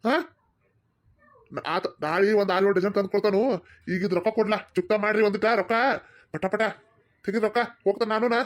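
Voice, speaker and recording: A woman's voice: medium pitch, fairly even intonation, a little fast, long pauses, lightly acted. A woman with a North Karnataka accent voicing a pushy male village mango seller in a cartoon comedy, talking insistently and wheedling. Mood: pleased, pushy. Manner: insistent, wheedling salesman's talk.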